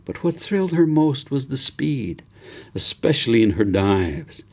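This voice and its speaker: A man reading his own novel aloud as an audiobook, in a measured, gentle storytelling delivery. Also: medium pitch, sing-song.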